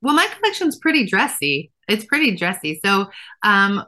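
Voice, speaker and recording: A woman, a fashion designer answering interview questions thoughtfully with many pauses. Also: mid-range voice, sing-song, bright, forceful tone. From Israel. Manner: thoughtful, many fillers and restarts.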